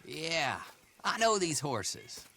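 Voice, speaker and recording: An actor playing a shifty, folksy backwoods horse dealer, chatty and needling, in a video game. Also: male voice, a little high, sing-song, extremely slow, gravelly, pushed and bright, slight background noise, heavily acted. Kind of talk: dramatic video game acting.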